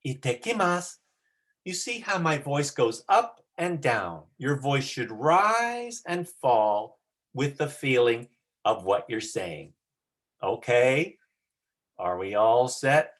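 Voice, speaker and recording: An adult drama teacher instructs readers at an online rehearsal. The delivery is unhurried and deliberate, broken into short phrases, with lively swings in pitch and clear emphasis. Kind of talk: instructional talk on a video call.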